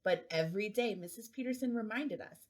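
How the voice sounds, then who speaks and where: A woman's voice: medium pitch, a little fast. A woman reading a children's storybook aloud as narrator. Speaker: school administrator reading aloud.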